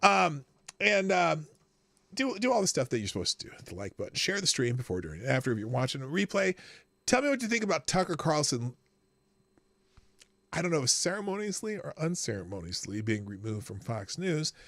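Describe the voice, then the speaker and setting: Man, stream host, husky voice, bright tone, lively pitch, faint music behind. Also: fairly quick, no room sound. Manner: chatty, animated sign-off with asides. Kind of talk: casual live-stream commentary.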